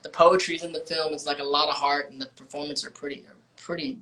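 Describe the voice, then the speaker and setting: A woman's voice: low voice, fairly even intonation, quick pace, bright, forceful tone, low-quality recording. A woman from the United States hosting a film club chat, loose and chatty with lots of fillers and restarts. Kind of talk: casual livestream chat.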